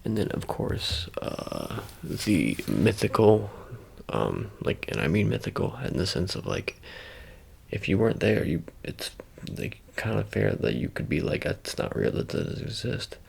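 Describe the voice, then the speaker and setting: Very deep, gravelly male ASMR creator. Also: sing-song. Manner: halting, rambling, self-correcting. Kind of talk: ASMR light-trigger roleplay with casual rambling to the viewer.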